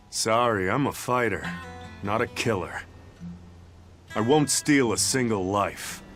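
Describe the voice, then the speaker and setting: An actor playing a brash male spirit and brawler in a game, talking in a rough, swaggering way. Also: fairly low pitch, extremely slow, long pauses, fairly bright tone, faint music behind.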